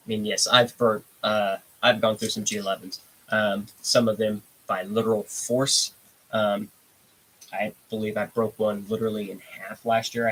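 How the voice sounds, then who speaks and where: A man with a blocked, stuffy nose hosts a casual live stream, chatting in a rambling, unhurried way. His voice sits a bit low. A faint hiss runs under the recording. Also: fairly bright tone, rough recording. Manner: laid-back, rambling, reads out chat comments. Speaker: livestream host.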